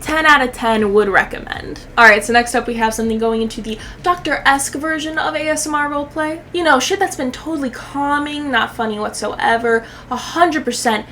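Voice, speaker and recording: A woman's voice: high voice, brisk, few pauses, pushed and bright, a little hiss or hum. A woman giving casual comedic reaction commentary in a sarcastic, exaggerated way, swearing freely. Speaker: YouTube commentary host.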